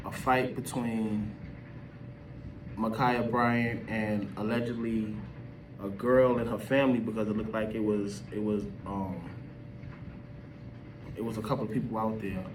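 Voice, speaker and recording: A man's voice: lowish voice, level tone, unhurried, pauses often, reverberant. A man giving casual opinion commentary as a video host, talking in an emphatic, slangy, conversational way. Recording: distant mic with background noise.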